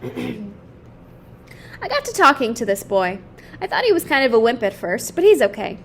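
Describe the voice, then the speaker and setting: Woman putting on a chirpy, high-voiced young girl. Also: a little slow, a little hiss or hum, full character voice. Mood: happy. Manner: chatty, candid, lively. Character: cheerful, frank, friendly young girl. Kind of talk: children's picture book read-aloud.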